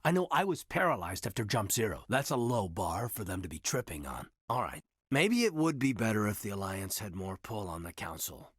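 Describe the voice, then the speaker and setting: Husky thirtysomething game soldier, earnest n guarded, really expressive pitch, dry close sound. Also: male voice, no room sound. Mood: earnest, frustrated. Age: 30s. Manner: measured, introspective, confiding. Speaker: video game companion character. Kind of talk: naturalistic game dialogue acting.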